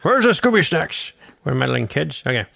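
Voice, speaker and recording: A man's voice: on the high side, sing-song, normal speed, creaky, background noise. A man voice actor rambling and joking around between recording takes. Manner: rambling, jokey, self-mocking. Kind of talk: goofy chat between takes.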